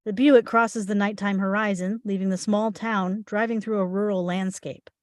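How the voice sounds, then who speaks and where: A woman gives scripted narration of screen directions at a table read. Her pitch sits in the middle range, and the sound is dry with little room echo. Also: fairly even intonation, normal speed. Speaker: stage direction reader.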